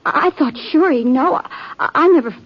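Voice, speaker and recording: A woman's voice: high voice, a little slow. A woman playing a naive, tender-hearted young frontier woman, earnest and plaintive in old-time radio style. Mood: hurt, plaintive. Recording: dull, muffled vintage radio recording.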